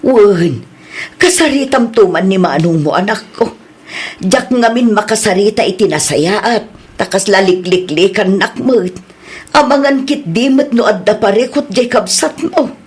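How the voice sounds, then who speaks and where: Woman acting as elderly mother, Ilocano accent, radio drama, anxious, scolding, very lively pitch. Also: measured pace, natural voice. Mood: worried. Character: worried elderly mother, pleading and scolding her grown son.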